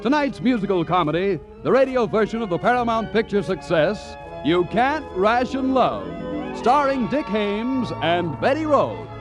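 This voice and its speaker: A man's voice: high voice, sing-song, moderate pace. A man announcing a 1940s radio comedy show in a grand, declaiming manner. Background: orchestra music.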